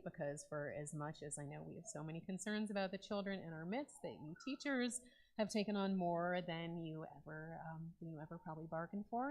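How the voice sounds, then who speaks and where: A woman's voice: fairly low pitch, vocal fry, a little mellow. A woman clergy member giving informal remarks to her congregation during a Shabbat service, talking conversationally with frequent ums. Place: synagogue sanctuary.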